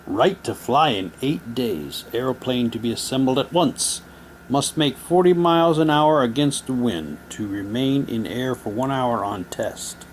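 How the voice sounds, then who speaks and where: A man's voice: medium pitch, a little slow, rough recording. A man doing a casual show-and-tell, switching between chatty remarks and reading printed material aloud. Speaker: presenter showing audio drama props. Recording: noticeable background noise.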